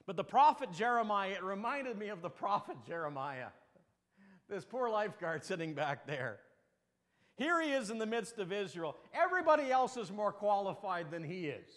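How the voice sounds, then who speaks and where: A man with a high voice preaches in a church sanctuary, his words ringing with a long hall reverb. He tells folksy stories and jokes, wanders into asides, then circles back to his point. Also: big pitch swings, moderate pace, a bit bright. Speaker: pastor. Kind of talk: sermon.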